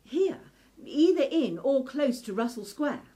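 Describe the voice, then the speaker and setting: A woman's voice: high-pitched, sing-song, measured pace, mellow. A woman playing a clever, earnest young lady researcher in a steampunk radio play, speaking precisely. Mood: analytical. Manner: precise, articulate, explanatory.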